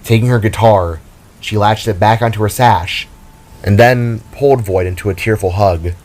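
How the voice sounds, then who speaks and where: A man's voice: lowish voice, normal speed, darkish tone, poor audio. A man reading scripted, dramatic story narration. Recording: music and rain under the voice. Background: music, rain and thunder.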